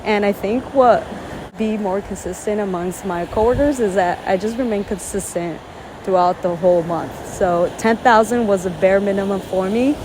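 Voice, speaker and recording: A woman's voice: medium pitch, normal speed, noisy background. A woman whose first language is Spanish, vlogging outdoors at a beach in an earnest, chatty way with many fillers. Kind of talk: casual talk to camera. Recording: outdoor recording with loud waves. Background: waves.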